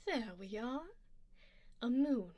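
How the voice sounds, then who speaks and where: A woman playing a refined, doting vampire duchess in an ASMR roleplay, soft-spoken and slipping into whispers, with a genteel, gently commanding manner. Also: a little high, expressive, slow pace, no echo, muffled recording. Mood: doting, self-assured. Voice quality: soft, breathy whispered passages. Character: refined, doting, possessive female vampire duchess, playfully condescending. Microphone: close binaural microphone, dry.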